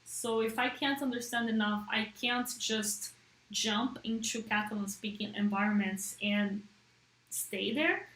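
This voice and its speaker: A woman's voice: a little high, fairly even intonation, unhurried, a bit bright, reverberant, low-quality recording. A woman whose first language is Portuguese, chatting casually to a live-stream audience in English and often checking in with "right?".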